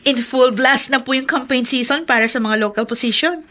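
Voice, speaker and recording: A woman's voice: high voice, normal speed, sharp tone, a little hiss or hum. A Filipino woman acting in a radio drama as a bossy, ambitious young mayor's daughter. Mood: eager, determined.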